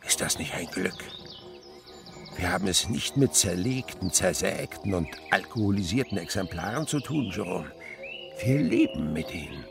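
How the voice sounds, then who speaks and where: An actor playing a kindly old insect researcher in a radio play, philosophical and rapturous, moving between murmurs and exclamations. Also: male voice, deep voice, lively intonation, moderate pace, gravelly, over music. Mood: awed, excited. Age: older. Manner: theatrical swings from murmur to exclamation. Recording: radio drama with insect noise behind.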